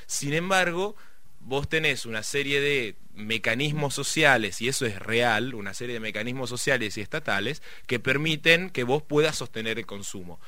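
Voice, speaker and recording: A man's voice: mid-range voice, normal speed, pushed and bright. A middle-aged man with a Rioplatense Argentine accent explaining economics in casual conversation, rambling with many fillers and restarts. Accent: Rioplatense Spanish.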